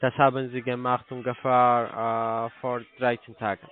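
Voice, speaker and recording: A man's voice: mid-range voice, completely flat delivery, measured pace. A man with a foreign accent in German, working as a call centre account operator, formal and insistent on the phone. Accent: foreign, non-native German. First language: not German. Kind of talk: phone sales pitch. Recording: dull, noisy phone line with clicks.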